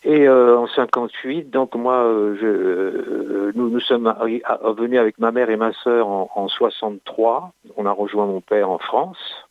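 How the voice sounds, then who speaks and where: A man in his sixties from Italy tells his own story slowly, stumbling over words and starting again. His voice sits low, with a rough, gravelly edge. The sound is dry and narrow, like a phone line. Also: no echo. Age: 60s. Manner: halting, many restarts and hesitations. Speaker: sculptor. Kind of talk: recounting his life story.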